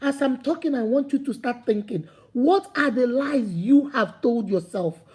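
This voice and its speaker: A woman's voice: on the high side, expressive, normal speed, mellow, husky. A woman with a Nigerian accent giving an impassioned motivational talk, repeating her points and building to emphatic calls. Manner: preachy, repetitive, rising to emphatic calls. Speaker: motivational speaker.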